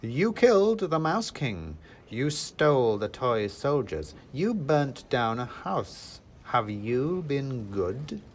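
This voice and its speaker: A man voicing a commanding, scornful young woman, imperious and deliberate, in a storybook reading. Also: mid-range voice, very animated, slight background noise. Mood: cold accusing. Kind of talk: storybook character voice.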